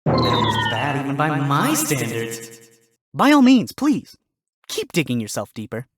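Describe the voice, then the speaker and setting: A man's voice: a little high, huge pitch swings, measured pace, slight background noise, pitched below the performer's own voice. A man doing a sarcastic fox lawyer. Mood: smug. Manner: dry sarcastic quips. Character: smug sly male fox defense lawyer. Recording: music playing under voice.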